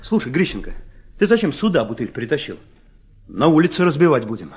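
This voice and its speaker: An actor playing an earnest young police chief of eighteen in a drama, formal and pedantic. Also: male voice, a little high, sing-song, fairly quick, darkish tone, husky, exaggerated voice. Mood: amused, stern. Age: young adult. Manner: formal, officious.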